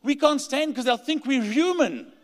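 A man with a South African accent preaching a sermon and leading a call to stand, his delivery rising to emphatic peaks and dropping to quiet pleading prayer. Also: extremely high pitch, animated, normal speed. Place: large church hall. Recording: long hall reverb.

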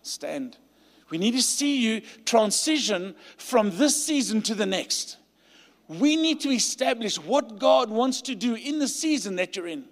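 A man with a South African accent preaching a sermon and leading a call to stand, his delivery rising to emphatic peaks and dropping to quiet pleading prayer. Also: high voice, lively intonation, unhurried. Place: large church hall. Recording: long hall reverb.

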